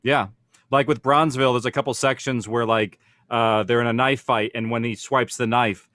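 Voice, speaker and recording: A man's voice: medium pitch, steady tone, normal speed, fairly bright tone, no room sound. A man speaking as a producer on an informal panel, explaining his working process conversationally. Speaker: audio drama producer and panelist.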